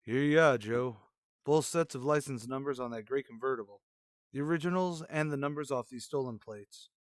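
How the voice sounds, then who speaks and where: A man's voice: mid-range voice, moderate pace, no echo, studio quality, lightly acted. A man playing a dutiful, somber police officer in a radio crime drama, with plain, clipped cop talk. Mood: businesslike.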